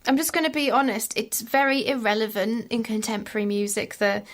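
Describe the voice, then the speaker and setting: High-voiced woman vocal coach. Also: steady tone, brisk. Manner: explains patiently, hedging with examples. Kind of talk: conversational podcast interview.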